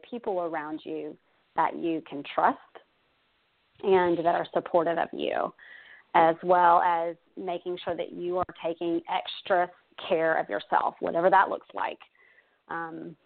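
Female guest, 30s, conversational advice-giver, slightly low voice, narrow phone-quality sound. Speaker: guest giving advice on self-care. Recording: dull phone-like audio.

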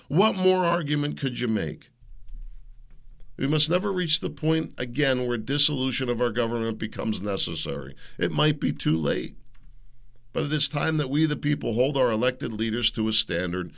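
A middle-aged man hosting a solo political commentary show, impassioned and rising to loud rhetorical questions, then drifting into rambling asides, with a slightly raspy voice. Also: a little high, animated, normal speed. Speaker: host.

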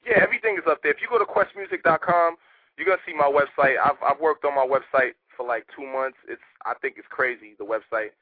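A man from Haiti, a rapper guest talking casually in a radio interview, animated and streetwise. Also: on the high side, husky, no echo. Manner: animated, streetwise, rapid talk with repeated "you know what I mean". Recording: tinny phone line, dry.

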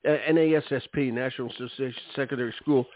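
A man hosting an interview show, friendly and informal, talking quickly and jumping in with comments. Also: medium pitch, fairly even intonation, no echo. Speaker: show host. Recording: tinny call audio, dry.

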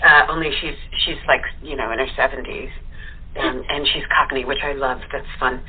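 A woman with a husky voice chatting casually as an interview guest about her acting. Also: rumbling low, fairly even intonation, moderate pace, slight background noise. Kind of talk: casual chat. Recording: tinny phone-line sound.

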